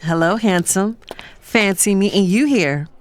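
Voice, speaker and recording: An actress playing a conflicted, lovestruck professional woman, telling her story in an expressive, confiding, exasperated way for an audio drama. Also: mid-range voice, a little slow, dry recording, natural voice. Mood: flirty. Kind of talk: dramatized first-person audio fiction narration.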